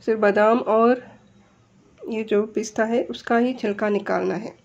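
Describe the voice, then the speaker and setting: A woman's voice: a little high, fairly even intonation, measured pace, darkish tone. Muffled recording of an Indian woman explaining. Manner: explanatory, step-by-step. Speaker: home cook and vlogger. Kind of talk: instructional recipe voice-over.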